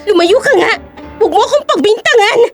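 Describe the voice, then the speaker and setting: A woman acts out a guilty wife in an audio drama, high-voiced and panicky. Her delivery swings widely as she defends herself with a hot temper. Music plays softly underneath. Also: animated, fairly quick. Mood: frantic denial. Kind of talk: Filipino audio drama acting.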